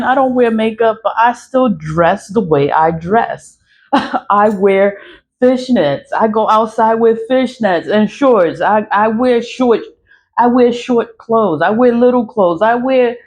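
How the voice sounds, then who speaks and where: A woman's voice: medium pitch, lively intonation, measured pace, a little mellow. A woman in her mid-forties ranting casually to her followers, blunt and profane, laughing often between points. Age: 40s. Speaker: social media creator. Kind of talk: casual vlog rant.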